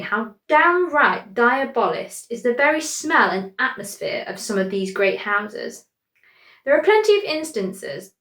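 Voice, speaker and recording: A woman's voice: mid-range voice, a bit bright, echoey room, lightly acted. A woman reading a witty male journalist's letter in a wry, conversational way.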